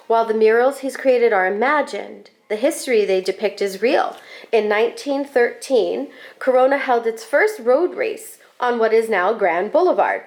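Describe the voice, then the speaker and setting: A woman reading a children's picture book aloud expressively, with deliberate, animated phrasing and drawn-out words. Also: mid-range voice.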